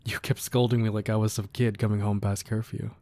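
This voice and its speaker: A man playing a devoted, protective crime boss boyfriend in a romantic ASMR roleplay, speaking softly and earnestly with hesitant pauses. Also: on the low side, flat delivery, lightly acted. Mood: tender, reflective. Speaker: audio roleplay performer. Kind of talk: romantic ASMR roleplay, intimate scripted monologue.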